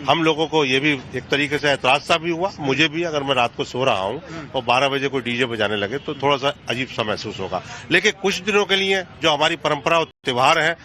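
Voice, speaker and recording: Casting: male politician, medium pitch, forceful edge, unscripted interview answer. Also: a little fast, hardly any pauses.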